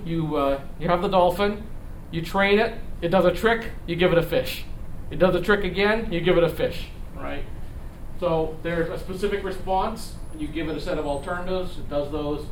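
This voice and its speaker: A middle-aged man giving a conversational lecture, halting and restarting phrases as he explains with rhetorical questions. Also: high-pitched. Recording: echoey room, distant mic, noisy background.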